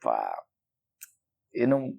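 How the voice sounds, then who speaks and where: A man's voice: mid-range voice, wildly swooping pitch, slow, gravelly, dark tone. A young man with a European Portuguese accent, a local election candidate answering interview questions in a thoughtful, hesitant way with many fillers. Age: young adult.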